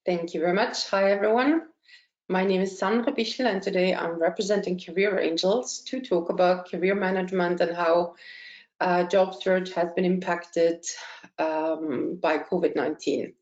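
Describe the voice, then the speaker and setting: A woman, presenting a webinar as a career consultant in a measured, explanatory way. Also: fairly low pitch, fairly even intonation, a little mellow. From Austria. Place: indoor room, online call. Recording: dull, roomy online webinar audio.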